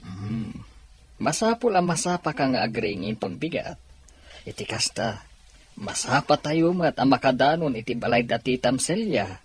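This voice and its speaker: A man playing a caring, steady father with a raspy voice in an Ilocano audio drama. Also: medium pitch, normal speed.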